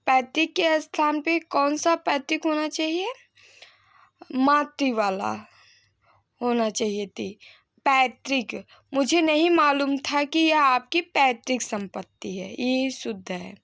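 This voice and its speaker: A female teacher delivers an instructional grammar lesson in a high, bright, forward voice. She moves slowly, reading each sentence out, repeating it, then posing a question and answering it herself. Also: creaky. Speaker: Hindi grammar teacher for exam candidates.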